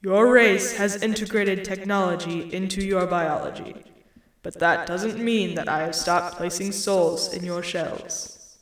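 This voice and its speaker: A woman voicing a calm, almighty disembodied male creator in a story, solemn, measured and patient, with an echo effect. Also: lowish voice. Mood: assured, explaining. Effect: reverberant echo on the voice. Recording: echoing reverberant sound.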